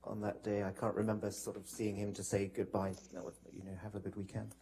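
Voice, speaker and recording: A man giving testimony as a witness in a courtroom, hesitant and measured, with halting answers. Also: lowish voice, completely flat delivery, mellow. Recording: noisy courtroom broadcast feed.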